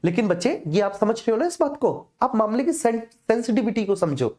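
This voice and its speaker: A woman's voice: fairly high pitch, very animated, fairly quick, dark tone. A woman teaching an exam coaching class informally, explaining didactically and often repeating herself to check that students follow. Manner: didactic, repetitive, checking understanding with frequent tag phrases.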